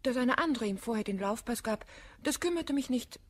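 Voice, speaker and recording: Composed, breathy, high-voiced gentlewoman. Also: animated, a little fast. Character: composed, sympathetic gentlewoman confidante, gently sensible. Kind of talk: German radio play of classical verse comedy.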